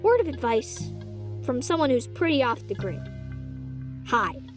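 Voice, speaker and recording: A quirky, chatty man who lives off the grid and tinkers with radios, as a woman reader puts him on. He talks casually with a higher lilt and drops to a hush for his secrets. Soft music plays faintly beneath this audio drama. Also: sing-song, measured pace. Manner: casual, hushed when sharing secrets.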